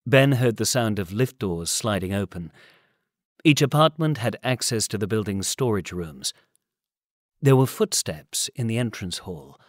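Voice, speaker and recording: A man's voice: fairly low pitch, lively intonation, measured pace, no room sound, studio quality. A man reading an audiobook, giving scripted story narration. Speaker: audiobook reader.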